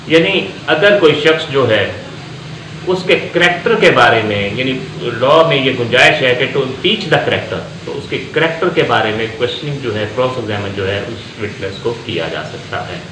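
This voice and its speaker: A man with a Pakistani accent giving an explanatory law lecture, deliberately repeating phrases for emphasis and switching into English terms. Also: medium pitch, expressive, sharp tone. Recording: echoey room with faint background noise.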